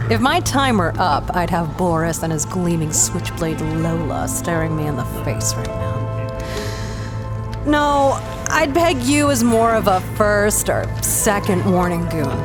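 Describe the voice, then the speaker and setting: A hard-up, defiant adventuress in a period audio drama. Her voice is low for a woman and her delivery is dry and sardonic, with music clearly behind her. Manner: dry, sarcastic. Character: wry, defiant 1930s treasure-hunting adventuress. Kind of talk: 1930s-set adventure audio drama acting.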